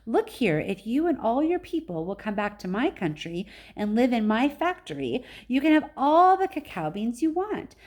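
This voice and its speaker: A woman reading as a proud, excitable, eccentric man who owns a chocolate factory, in an emphatic storybook style. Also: on the high side, moderate pace, few pauses, voice pushed up. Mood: enthusiastic.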